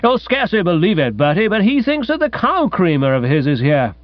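Man playing an airy, high-voiced English aunt. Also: big pitch swings, moderate pace, dry recording, lo-fi sound. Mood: amused indulgence. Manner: courteous, airy. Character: amused, indulgent upper-class English aunt, middle-aged woman. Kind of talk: comic audiobook character acting.

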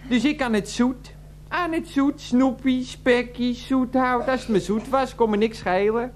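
An actress playing a chatty, rambling middle-aged psychiatric patient in a radio play, breezy and folksy. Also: female voice, a little high, a little slow, slight background noise, close to an everyday voice. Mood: rambling, confiding. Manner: rambling, folksy, repeats a pet phrase.

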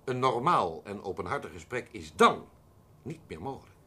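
Radio drama casting: pompous male accountant plotting coolly, husky, very animated intonation, stiffly formal diction. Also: on the low side, moderate pace, heavily acted. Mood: explaining, self-assured. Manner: pompous, precise, theatrically formal, emphatic. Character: pompous, prickly accountant coolly proposing a perfect murder. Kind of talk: Dutch radio drama acting.

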